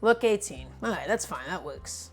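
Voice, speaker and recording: A woman's voice: lowish voice, expressive, moderate pace, soft background music. A woman in her twenties hosting a casual cooking livestream, teasing her viewers and explaining each step while she chops. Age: 20s. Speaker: cooking streamer.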